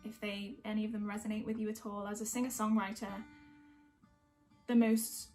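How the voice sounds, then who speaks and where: A woman's voice: medium pitch, flat delivery, normal speed, darkish tone, soft background music. A woman from North West England, a singer-songwriter talking casually and reflectively. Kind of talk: casual chat between songs.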